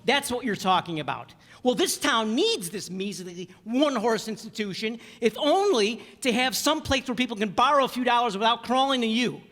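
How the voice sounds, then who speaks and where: Guy playing earnest small town dreamer, high pitched, stumbles over words, old radio drama, lots of echo. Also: lively intonation, moderate pace, hardly any pauses, fairly bright tone. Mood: indignant. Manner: stammering, folksy, impassioned. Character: young small-town dreamer, earnest, excitable, stubbornly idealistic. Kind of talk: radio play acting. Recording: very echoey hall stage.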